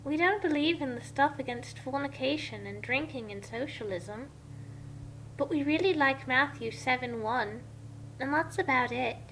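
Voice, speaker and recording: A woman's voice: sits high, normal speed, crowd noise, poor audio. A woman voicing a drowsy, self-righteous young girl from a story, casual and sure of herself. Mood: casual, airy. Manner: casual, confident, instructing.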